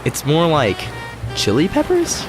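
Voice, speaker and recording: A man playing an easygoing, puzzled space traveler in a sci-fi comedy audio drama, talking in halting, understated questions. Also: a little high, huge pitch swings, music in the background, poor audio. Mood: pondering.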